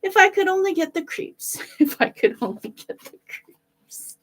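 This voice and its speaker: Woman playing a bold, high-pitched naive boy. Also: animated, measured pace, a bit bright, full character voice. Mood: eager. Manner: earnest, calling out boldly. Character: bold, naive young boy in a fairy tale.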